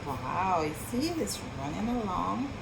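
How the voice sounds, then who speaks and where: A woman reading a picture book aloud to children in an expressive storytelling style, with marvelling asides and questions to her young listeners. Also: fairly low pitch, unhurried, creaky, very noisy.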